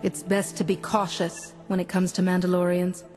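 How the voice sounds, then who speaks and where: Woman acting female Jedi diplomat, lowish voice, composed, cautious, formal, game dialogue, music behind. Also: completely flat delivery, moderate pace, close to an everyday voice. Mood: measured. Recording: loud music under the voice. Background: cinematic game music.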